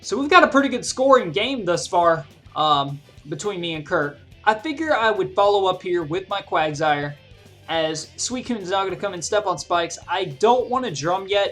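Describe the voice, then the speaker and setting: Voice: medium pitch, a bit bright. A video creator giving conversational play-by-play game commentary, explaining each decision as they think it through aloud. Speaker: gaming video creator commentating own battle. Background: music behind the voice.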